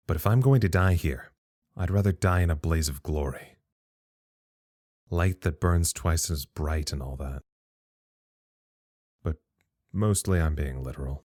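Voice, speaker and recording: A very low-voiced psychologist at a secret underground facility, recorded close and dry. He is weary and stubborn, musing with wry, dry humor in the manner of a reflective audio drama. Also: a little mellow, no room sound.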